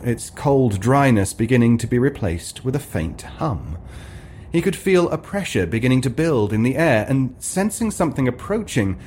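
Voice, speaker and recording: Lively male storyteller over ambience. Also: mid-range voice, slight background noise. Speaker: narrator. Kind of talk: dramatic comic storytelling, scripted narration. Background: ambient sound effects.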